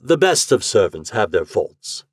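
Man playing a cool, guarded secretary, husky. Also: mid-range voice, huge pitch swings, a little mellow, no echo, clean studio sound, voice pushed up. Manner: measured, reserved, cool.

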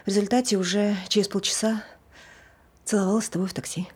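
An actress performs a tipsy married woman in a naturalistic stage play. Her voice is husky and low-key. She sounds nervous and awkward, chatting to justify herself. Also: steady tone, fast talker, a little mellow, close to an everyday voice. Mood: awkward chatter. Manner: rambling, self-justifying, awkward. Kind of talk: naturalistic stage acting, monologue.

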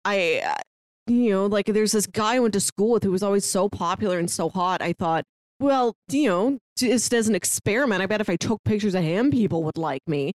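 A woman's voice: medium pitch, fairly quick, dry recording, studio quality. A woman co-hosting a casual film discussion, retelling scenes and slipping into bits of dialogue as she goes. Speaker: podcast co-host reviewing a film.

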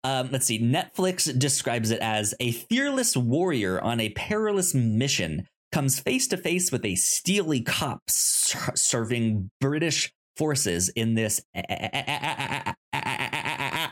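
A podcast co-host chatting casually and pitching movies in a halting way, often stammering and repeating syllables. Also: sing-song.

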